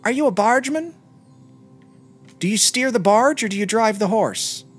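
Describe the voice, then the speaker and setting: A man's voice: sits high, lively intonation, normal speed, slightly rough, faint music behind, voice pushed up. A man voicing a chatty, innocent little boy with eager, simple childlike speech in a story reading. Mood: curious, excited.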